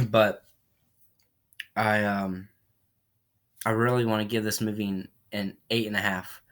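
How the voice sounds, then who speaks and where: A man giving a casual spoken movie review while recovering from a cold, rambling and often clearing his throat. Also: fairly low pitch, flat delivery, takes it slowly, bright, forceful tone. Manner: rambling, repeats himself, frequent throat clearing.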